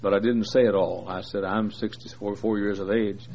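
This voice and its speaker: Low-voiced man in his sixties preaching. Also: level tone, normal speed, creaky, a little mellow. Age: 60s. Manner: folksy, rambling, with many "uh" fillers and jokes. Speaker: visiting preacher. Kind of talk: joking sermon introduction to a large congregation. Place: large church. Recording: dull lo-fi recording with faint noise.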